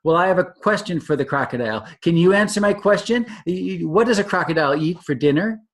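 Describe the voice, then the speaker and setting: Storybook baby elephant, young and curious, a man reading it in a higher, louder voice. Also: quick pace. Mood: eager. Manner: insistent, questioning, raised and excited. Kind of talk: storybook read-aloud.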